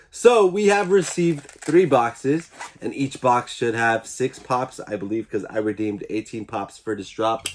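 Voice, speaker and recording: A young man with a stuffy, congested voice, chatting enthusiastically as he hosts a casual unboxing vlog. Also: medium pitch. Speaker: YouTube collector channel host.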